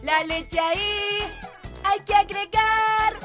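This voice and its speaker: A woman voicing a small, helpful, perky magical owl with a cute squeaky voice in a dubbed Spanish children's cartoon. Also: slow, loud music behind the voice. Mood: chirpy. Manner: perky, exclamatory.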